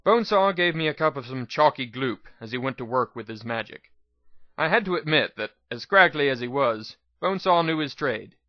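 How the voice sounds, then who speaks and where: A man narrates a first-person story as a dramatic reading. His voice has a middle pitch, and the recording is very dry and slightly dull. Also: expressive, normal speed, no room sound. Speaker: narrator, reader.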